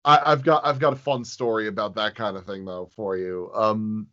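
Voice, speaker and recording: A man telling stories casually in a conversation, with a reflective, halting way of phrasing things. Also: lowish voice, steady tone, pushed and bright, muffled recording. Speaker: podcast guest.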